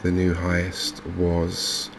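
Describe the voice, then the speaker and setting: A man with an English accent giving soft-spoken, hesitant, unhurried gameplay commentary in an ASMR style. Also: low voice. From England. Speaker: gaming video host. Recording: clear steady background noise.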